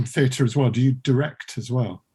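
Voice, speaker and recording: A man's voice: medium pitch, measured pace, dark tone, husky. A man interviewing guests casually, putting his questions in a tentative, stumbling way. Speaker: interviewer, podcast producer.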